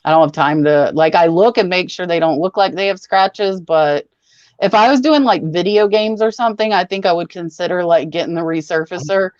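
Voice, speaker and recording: A woman hosting a casual livestream chat, talking in a brisk, rambling, matter-of-fact way. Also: lowish voice, a little mellow, dry recording, dull audio. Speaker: host.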